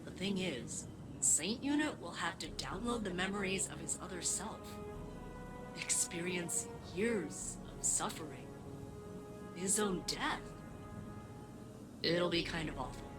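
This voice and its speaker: A woman's voice: on the low side, lively intonation, measured pace, long pauses, music in the background. A woman playing a sympathetic, hesitant companion in a video game, casual and understated in delivery. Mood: uneasy concern. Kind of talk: video game dialogue acting.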